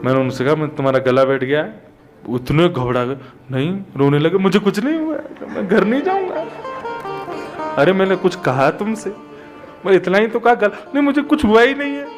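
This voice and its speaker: High-voiced male katha preacher. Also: moderate pace, soft background music. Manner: conversational, animated storytelling with rhetorical questions. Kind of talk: devotional discourse with everyday anecdotes.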